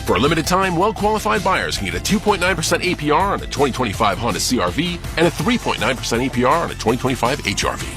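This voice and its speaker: A man's voice: a little high, big pitch swings, fast, few pauses, over music. A man reading a scripted radio advertisement as an announcer. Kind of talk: car dealership radio ad read.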